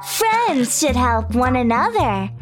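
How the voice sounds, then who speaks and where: A woman's voice: high voice, sing-song, takes it slowly, over music, heavily acted. A woman voicing a big, lazy, scheming female cat in a children's storybook, whining and wheedling theatrically.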